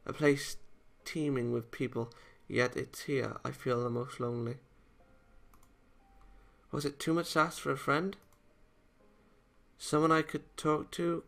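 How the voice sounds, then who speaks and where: A man's voice: on the high side, fairly even intonation, a little slow, vocal fry, long pauses, a bit bright. A man voicing a lonely, bitter, menacing schoolgirl from an anime visual novel, in a brooding read-aloud. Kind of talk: dramatic read-aloud of game dialogue.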